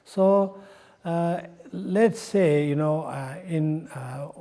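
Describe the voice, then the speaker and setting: Senior monk, male, 60s, English. Very measured pace, creaky tone, halting unscripted explanation. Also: on the high side, dark tone. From Nepal. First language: not English. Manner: halting, searching for words, frequent pauses. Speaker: Buddhist monk in charge of a monastery.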